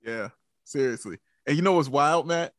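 A man's voice: a little high, moderate pace, a bit bright, dry recording, studio quality. A man co-hosting a sports podcast in casual fan talk, preaching emphatically with rhetorical questions and repeated catchphrases.

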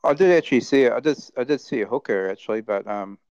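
A man chatting as a guest in a halting, repetitive, rambling way, over dull lo-fi audio. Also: mid-range voice, a little mellow, clear, resonant tone, no echo. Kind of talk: casual chat, anecdote telling.

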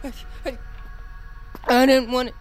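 Tormented young heroine, very high, swinging voice, loud score underneath. Audio drama. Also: female voice, flat delivery, unhurried, music in the background. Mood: distraught, shaken. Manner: emotional, pleading, swearing. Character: desperate, tormented young woman fighting a cursed tape's pull. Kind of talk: audio fiction drama acting.

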